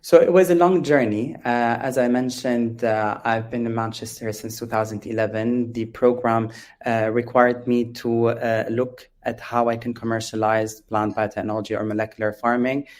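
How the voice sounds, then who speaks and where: A man from Lebanon with a Lebanese accent, explaining things as an interview guest, halting often with drawn-out ums. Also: medium pitch, flat delivery, darkish tone. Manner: hesitant, halting, with frequent long ums. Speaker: interview guest, biotech company founder.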